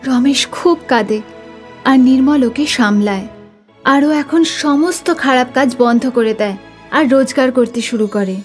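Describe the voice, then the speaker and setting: A woman's voice: high voice, measured pace, soft background music. A woman narrating a scripted story.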